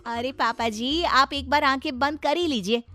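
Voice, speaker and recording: A woman's voice: sits high, normal speed, pushed and bright, soft background music. A woman voicing a defiant, fashion-loving young wife in a cartoon story, sassy and argumentative with a whiny edge. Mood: annoyed, defiant.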